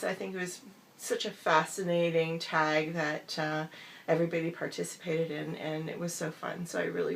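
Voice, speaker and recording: A woman vlogger with a bad cold, her voice hoarse and gravelly and dropping into whispers, chatting upbeat with many fillers. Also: medium pitch, slight background noise. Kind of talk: casual chat to camera.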